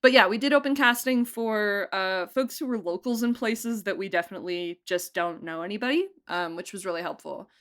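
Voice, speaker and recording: A woman's voice: mid-range voice, brisk, hardly any pauses, a bit bright. A woman speaking as an audio drama director and producer in a casual interview, enthusiastic and emphatic, often giggly.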